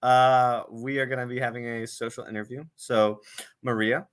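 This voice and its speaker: A man's voice: mid-range voice, little pitch movement, moderate pace, smooth. A man hosting a casual video interview, who rambles, jokes and stumbles over his words. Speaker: interviewer host.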